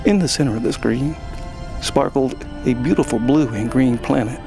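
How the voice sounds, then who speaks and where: A man's voice: mid-range voice, normal speed, creaky, over music. A man reading scripted story narration for an audio drama.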